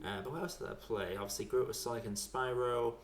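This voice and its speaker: A man's voice: mid-range voice, steady tone, fast, faint music behind. A man chatting casually about video games in a colloquial, self-deprecating way. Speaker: podcast speaker. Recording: roomy, echoey sound.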